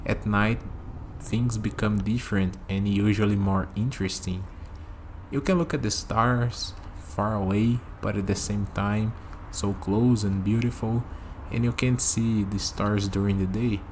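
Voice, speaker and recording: A man's voice: lowish voice, steady tone, a little slow, slight background noise, natural voice. A man acting a friendly, easygoing human on a night walk in an ASMR roleplay, musing conversationally to the listener. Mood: reflective, warm.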